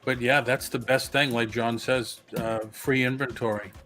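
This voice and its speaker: A man in his early sixties talks easily in a casual chat and spins everyday stories as he goes. His voice sits at an ordinary middle pitch for a man, with faint music in the background. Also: fairly even intonation, moderate pace, a bit bright. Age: 60s. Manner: chatty storyteller, asks questions.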